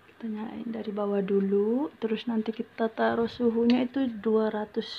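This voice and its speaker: A woman with a medium-pitched voice talks casually through home cooking. She repeats herself and mixes in bits of English. The phone recording carries clear frying sizzle and stirring noise from the kitchen. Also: highs cut off. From Indonesia. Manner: chatty, repetitive, peppered with English words. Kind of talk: casual unscripted cooking tutorial. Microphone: handheld phone behind the camera. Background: frying sizzle, stir noises.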